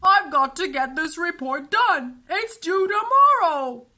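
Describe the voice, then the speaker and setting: Woman voicing an exasperated big brother, bright and pleading. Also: very high voice, expressive, slow pace, voice pushed up, heavily acted. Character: exasperated, pleading older brother of school age. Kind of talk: children's storybook character voice.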